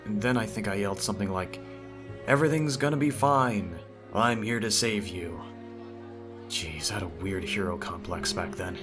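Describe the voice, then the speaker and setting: A man's voice: lowish voice, moderate pace, music in the background, lightly acted. A man playing a teasing, smitten teenage childhood friend in an ASMR audio roleplay, talking straight to the listener in a conversational way. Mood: playful, fond.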